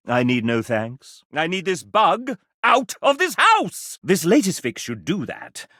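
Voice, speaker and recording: A man's voice: fairly high pitch, fairly bright tone, no room sound, studio quality, exaggerated voice. An actor playing a cheeky, theatrical game-show host in a video game, a man with a British accent and flamboyant, arch patter. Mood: manic, triumphant. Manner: flamboyant, arch, grandly enunciated showman patter.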